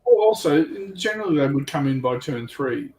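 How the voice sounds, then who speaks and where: A man's voice: medium pitch, animated, brisk, a crowd in the background, low-quality recording. A man in casual conversation as a guest player on a hobby livestream. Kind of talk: casual chat.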